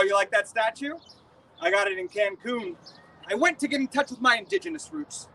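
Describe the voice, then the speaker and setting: A man's voice: very high-pitched, bright, forceful tone, distant mic, a little hiss or hum, poor audio. A man on a live outdoor stage, playing a smug man who boasts about a relic he stole, in a theatrical monologue.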